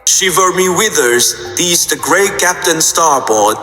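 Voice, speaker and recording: A grandiose pirate pony mate for a cartoon dub. The voice is forceful and slightly high, fawning as it proclaims. It carries roomy echo with music behind. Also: male voice, expressive, unhurried. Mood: grand proclamation. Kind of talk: cartoon comic dub acting.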